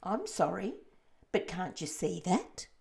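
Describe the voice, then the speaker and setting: A woman voicing a calm, sensible young boy with a reassuring manner. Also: fairly low pitch, slow, creaky, exaggerated voice.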